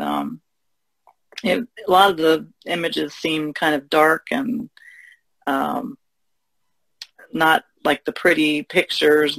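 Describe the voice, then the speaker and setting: A woman with a very gravelly, creaky voice talks as a panelist in an easygoing discussion. The sound is dry, with hardly any room around her. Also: sits low, dry recording, rough recording. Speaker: podcast panelist. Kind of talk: casual discussion.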